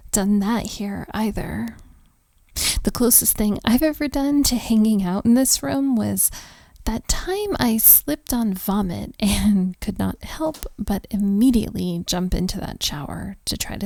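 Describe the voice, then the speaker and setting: A woman playing a flustered, self-deprecating young hotel maid in an intimate audio roleplay, speaking haltingly with soft, giggly breathiness. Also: mid-range voice, creaky, no echo. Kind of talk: intimate audio roleplay, one-sided conversation.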